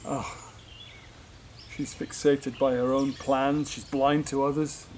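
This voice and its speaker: A man's voice: on the high side, darkish tone. A man playing a sad, disillusioned husband in an audio drama, with a plaintive, confiding manner. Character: melancholy, disillusioned brother and husband. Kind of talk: naturalistic audio drama acting. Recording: faint outdoor ambient noise. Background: birdsong, outdoor ambience.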